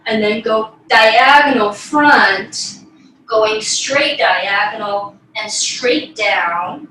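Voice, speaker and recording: A woman leads a ballet class, cueing and counting while she demonstrates. Her voice is fairly high and unhurried. It reaches a distant mic in a room with very heavy echo. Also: pushed and bright, slight background noise. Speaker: ballet teacher. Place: small bedroom, indoors. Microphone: far camera across the room. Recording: very echoey room, distant mic, music behind. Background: classical piano music.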